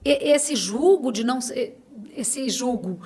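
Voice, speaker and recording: Higher-pitched Brazilian woman, earnest and chatty. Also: animated, slow, darkish tone, husky. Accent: Brazilian Portuguese. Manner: earnest, repeats phrases for emphasis, tags with "né". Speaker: podcast guest. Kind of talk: casual, personal conversation with anecdotes.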